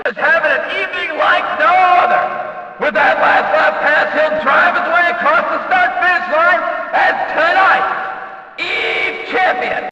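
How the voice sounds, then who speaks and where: A man playing an excitable, over-the-top race announcer in a racing video game, calling the action with emphatic, drawn-out exclamations. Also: very high-pitched, heavily acted. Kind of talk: shouted arcade racing game commentary. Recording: echoey PA-like hall, distant, dull, crowd.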